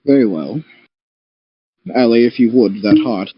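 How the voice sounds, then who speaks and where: A man's voice: medium pitch, measured pace, husky, no echo. A man playing a tabletop game, moving between casual chat and talking in character. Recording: dry, dull lo-fi audio.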